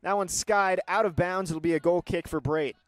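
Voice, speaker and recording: Higher-voiced young male sports play-by-play commentator. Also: dry recording. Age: young adult. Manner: measured description rising to excited calls.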